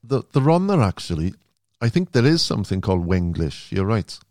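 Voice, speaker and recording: Guy hosting a podcast, lowish voice, dry close sound. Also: sing-song, fairly quick, darkish tone, no room sound. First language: Welsh. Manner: easygoing, conversational questions. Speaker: podcast host. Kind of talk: casual interview chat.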